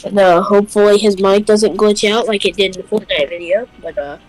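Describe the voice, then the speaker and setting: A woman's voice: mid-range voice, fairly even intonation, brisk, darkish tone, low-quality recording. Congested woman rambling through a chat. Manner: rambling, hesitant, full of fillers. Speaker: host. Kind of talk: casual chat.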